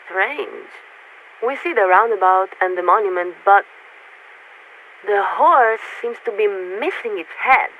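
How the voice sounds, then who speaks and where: A woman playing a polite, detached warship officer in a video game, speaking formally and with measured hesitation through a radio filter. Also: mid-range voice, animated. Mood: formal, puzzled. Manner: formal, measured, hesitant when searching for words. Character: polite, detached female warship crew officer surveying a city. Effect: military radio transmission filter. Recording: tinny narrowband radio with hiss.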